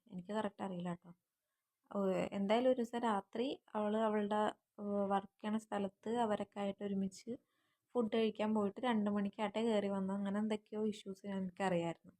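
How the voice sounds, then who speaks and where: A woman's voice: mid-range voice, warm tone. A woman casually recounting events, as in a private voice message.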